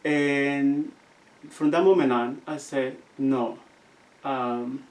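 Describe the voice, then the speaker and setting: Halting Guatemalan-accented man, off-mic. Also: medium pitch, steady tone. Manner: halting, searching for words. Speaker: seminarian guest. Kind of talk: casual interview storytelling. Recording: distant from the mic.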